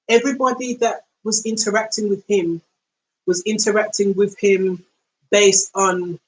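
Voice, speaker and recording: Animated London woman telling stories casually. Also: medium pitch, rough recording. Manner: conversational, slangy, acts out quoted speech in slow, deliberate tones. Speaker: podcast host. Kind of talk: casual storytelling monologue.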